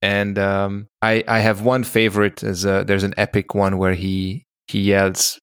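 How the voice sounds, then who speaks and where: Male podcast co-host, lowish pitch, very dry sound, casual conversational storytelling. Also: monotone, a little slow, smooth, no room sound.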